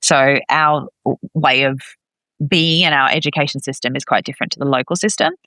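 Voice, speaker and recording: Female podcast guest, chatty and expressive, bright forward voice, dry close recording. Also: rumbling low, fairly quick, no echo. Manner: chatty, expressive, acts out reported dialogue. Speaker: podcast guest, expat parent. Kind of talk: casual conversational interview.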